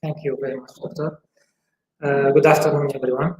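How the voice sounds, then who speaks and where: A man from Syria in his forties, a gynecologist giving interview answers in a halting way with many filler pauses. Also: mid-range voice, level tone. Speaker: gynecologist guest. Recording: echoey room, faint hiss.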